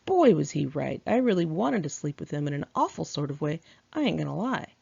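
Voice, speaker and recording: Low creaky-voiced woman reading her memoir. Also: very animated, a little fast, dark tone, highs cut off. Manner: candid, chatty, sassy. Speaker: author reading own memoir aloud. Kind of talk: conversational memoir narration.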